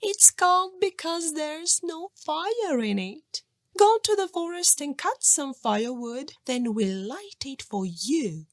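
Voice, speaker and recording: A woman's voice: sits high, big pitch swings, slow pace, no room sound, voice pushed up, full character voice. A woman voicing scolding peasant sisters-in-law in a children's storybook folk tale. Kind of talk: children's storybook character reading.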